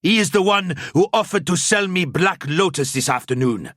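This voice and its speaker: A man voicing a gruff, scheming foreign man who speaks in a strong Bretonnian accent, slow and accusing. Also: high-pitched, expressive, a bit bright, dry recording, clean studio sound, voice pushed up. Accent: Bretonnian, fantasy-foreign.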